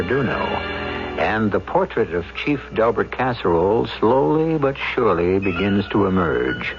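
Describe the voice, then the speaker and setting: A man hosting a radio drama with theatrical, knowing, deliberate narration. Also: low-pitched. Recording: muffled old radio recording.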